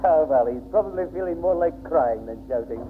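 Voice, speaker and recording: A man's voice: fairly high pitch, lively intonation, a little fast, background noise, natural voice. An actor playing a teasing, chiding old grandfather in a 1960s radio sitcom. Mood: wry. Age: older. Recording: narrow-band old 1960s radio broadcast.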